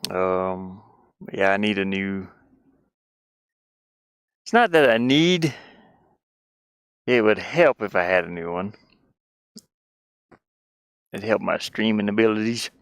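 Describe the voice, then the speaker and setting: A man chatting casually on a game livestream, rambling and thinking aloud in a halting way. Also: fairly low pitch, lively intonation, clear, resonant tone, no echo, clean studio sound. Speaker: game streamer and indie game developer.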